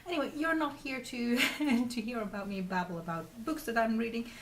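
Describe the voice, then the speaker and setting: A woman from the Netherlands with a Dutch accent, hosting a casual craft vlog in a halting, rambling way while getting over a cold. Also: a little high. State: recovering from a cold. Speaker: podcast host. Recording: distant mic with faint noise.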